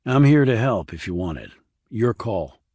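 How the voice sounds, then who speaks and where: A man voicing a composed male army criminal investigator in an audiobook, speaking in a calm, matter-of-fact way. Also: lowish voice, normal speed, breathy edge, dry recording, clean studio sound. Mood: calm, businesslike.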